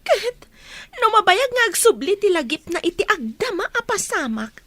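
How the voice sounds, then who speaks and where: A woman's voice: extremely high pitch, sing-song, moderate pace, fairly bright tone, dry recording, heavily acted. A woman playing an anxious, devoted woman who pleads emotionally in a Filipino audio drama. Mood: worried, hurt.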